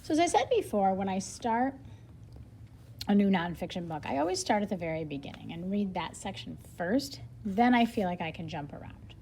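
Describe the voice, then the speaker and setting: A woman reading a nonfiction book aloud as a lesson for children, expressively, pausing to explain things simply and think out loud. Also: medium pitch, very animated, a little hiss or hum.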